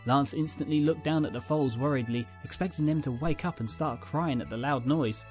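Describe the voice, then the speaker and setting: A woman's voice: low-pitched, moderate pace, soft background music. A woman narrating a scripted fan fiction story as its reader.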